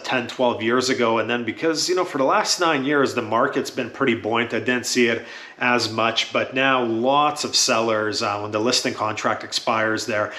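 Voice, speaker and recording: A man whose first language is Croatian, with a bright, forceful voice and a medium pitch. He talks to camera like a real estate agent, steady and matter-of-fact, with lots of "uh" pauses. The room around him is roomy and echoey. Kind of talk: casual talk to camera.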